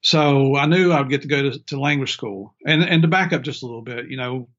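A man's voice: medium pitch, brisk, a bit bright, highs cut off. Older man with a country Southern accent. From Middle Tennessee and Southern West Virginia, USA. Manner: folksy, self-deprecating, chuckles often. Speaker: former drug enforcement agent, interview guest. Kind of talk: casual interview storytelling.

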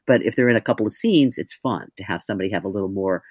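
A woman with a deep voice, an audiobook narrator talking candidly in an interview, thoughtful and self-mocking. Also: racing, a little mellow, breathy edge, dry recording, studio quality.

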